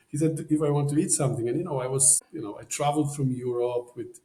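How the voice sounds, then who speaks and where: A man's voice: mid-range voice, fast, dark tone, roomy sound. A man from Poland with a Polish accent, a podcast guest telling a story in casual, rambling talk full of "you know" fillers. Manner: rambling, many "you know" fillers, non-native phrasing.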